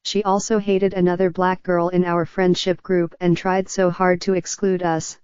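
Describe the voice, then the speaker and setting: Robot lady voice reading posts, super flat, smooth and clear, kinda dull lo-fi sound. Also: on the low side, normal speed, dry recording. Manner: even, unvaried machine delivery. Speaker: text-to-speech reader of forum posts. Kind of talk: synthetic narration of posts.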